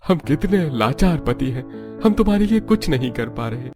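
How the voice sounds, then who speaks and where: A man's voice: fairly high pitch, big pitch swings, moderate pace, music in the background, pitched above the performer's own voice. A man voicing an earnest, insistent young husband and son in a family cartoon. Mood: helpless.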